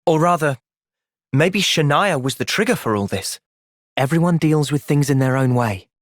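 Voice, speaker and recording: An actor voicing an earnest, thoughtful young male soldier and leader in a video game, with an English accent and a measured delivery. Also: on the high side, expressive, no echo, clean studio sound, close to an everyday voice. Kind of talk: video game cutscene acting.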